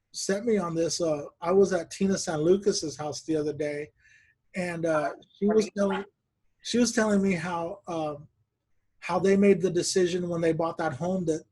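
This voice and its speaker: High-pitched guy chatting on a podcast over a video call, laughs a lot. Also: breathy edge, rough recording. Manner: laughs often, animated storytelling. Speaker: podcast host. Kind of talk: casual chat.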